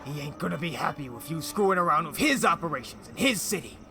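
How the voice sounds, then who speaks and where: Male actor as threatening mob lieutenant, highish forceful voice, swinging intonation, gruff, scornful, bossy, audio drama. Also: animated, fast, soft background music, heavily acted. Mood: defiant.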